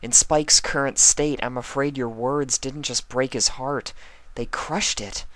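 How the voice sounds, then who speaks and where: Guy doing a serious earnest girl pony, raised pitch, concerned, storybook. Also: fairly even intonation, a little slow, fairly bright tone, no echo, natural voice.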